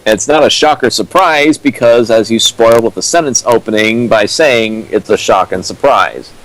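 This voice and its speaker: A man's voice: medium pitch, moderate pace, fairly bright tone, rough recording. A young man chiming in with dry comments and fan lore during a comedic reading. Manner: dry, explains lore with fillers. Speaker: co-host riffing on a fan fiction.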